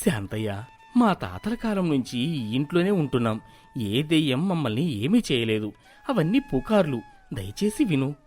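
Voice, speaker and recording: A man voicing a gentle, humble middle-aged village father in a cartoon moral story, soft-spoken and earnest. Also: fairly high pitch, very animated, moderate pace, faint music behind. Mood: hurt, pleading.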